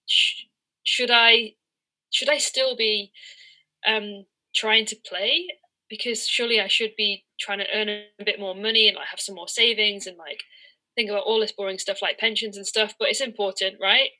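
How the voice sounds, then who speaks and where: Bright-voiced woman in her thirties, candid. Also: medium pitch, fairly even intonation, moderate pace, low-quality recording. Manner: candid, hesitant, searching for words. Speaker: interviewed professional cricketer and coach. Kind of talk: reflective conversational interview.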